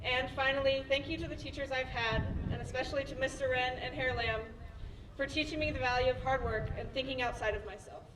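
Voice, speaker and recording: A woman in her late teens giving sincere, steady graduation thank-yous, heard through a distant, echoey sound system. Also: high-pitched, fairly quick. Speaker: graduating student. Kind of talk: graduation thank-you speech. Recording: echoey PA from far off, background noise.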